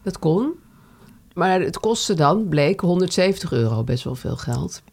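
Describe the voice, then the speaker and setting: A woman's voice: lowish voice, animated, unhurried, creaky, a little mellow, breathy edge. A woman co-hosting a podcast, telling a story in casual chat with many fillers and restarts. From Netherlands. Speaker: podcast co-host.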